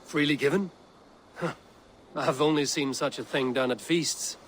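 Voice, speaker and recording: An actor playing a bold young Viking warrior, wry and teasing, in video game dialogue. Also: male voice, fairly high pitch, a little slow, slight background noise, lightly acted.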